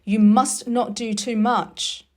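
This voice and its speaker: A woman's voice: fairly high pitch, little pitch movement, takes it slowly. A woman voicing a cautious, stern male doctor in a storybook, firm and admonishing. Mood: stern concern.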